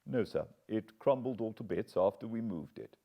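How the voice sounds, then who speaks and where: A man's voice: lowish voice, animated, lo-fi sound, voice pushed up. A man doing a humble British butler. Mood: earnest. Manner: respectful, measured, apologetic. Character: deferential middle-aged male butler, earnest.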